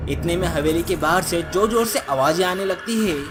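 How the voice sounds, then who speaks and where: A woman's voice: lowish voice, animated, normal speed, background noise. A woman narrating a scripted moral story for children as a cartoon storyteller. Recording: music behind the voice.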